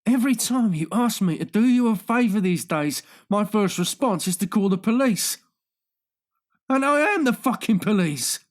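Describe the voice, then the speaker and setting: A man's voice: sits high, animated, normal speed, pitched above the performer's own voice. A man voicing a tired, exasperated police detective and worried friend in an audiobook, blunt and pleading. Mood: anxious, pleading. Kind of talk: audiobook character dialogue.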